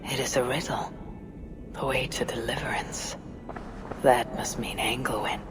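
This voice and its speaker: An actor playing a determined, guarded Viking raider in a video game. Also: male voice, mid-range voice, steady tone, unhurried, lightly acted. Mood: musing, wary. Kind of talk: video game cutscene acting. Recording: loud music over the voice.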